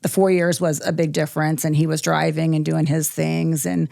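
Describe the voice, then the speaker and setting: Middle-aged woman w/ a bit of vocal fry, talking slow and thoughtful, dry close mic. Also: low-pitched, no room sound. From born in Iceland, military family. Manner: relaxed, thoughtful, many ums and pauses. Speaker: company president, guest. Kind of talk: podcast interview, reflective storytelling.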